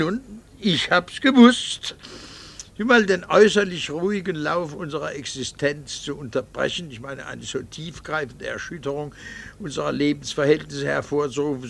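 A middle-aged husband in an old-style radio drama. His voice sits fairly high for a man and crackles with creak. He chooses his words formally, with a cool, bitter irony kept under tight control. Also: fairly bright tone. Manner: formal, measured, dryly ironic. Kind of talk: 1960s German radio drama acting.

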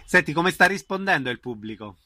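A man's voice: on the high side, animated, brisk, bright, forceful tone. A man interviewing a guest informally, listening attentively and putting in frequent short acknowledgements. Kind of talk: informal radio-style interview.

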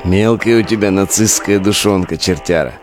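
An actor playing a needling, gruff relic digger in an audio drama, sarcastic and slangy as he taunts. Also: deep voice, moderate pace, over music, lightly acted. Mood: mocking.

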